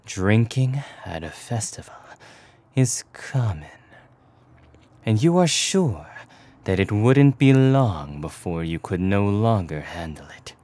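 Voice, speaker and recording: A man narrating a scripted ASMR story in a hushed, breathy whisper with long pauses. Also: fairly low pitch, sing-song. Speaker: voice actor narrating an audio roleplay.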